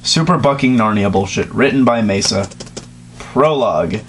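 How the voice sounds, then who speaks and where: A man's voice: lowish voice, expressive, normal speed, a little hiss or hum. A sarcastic eighteen-year-old man reading a story aloud casually, tripping over words and adding joking asides. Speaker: co-host reading fan fiction aloud. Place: small bedroom, indoors at night.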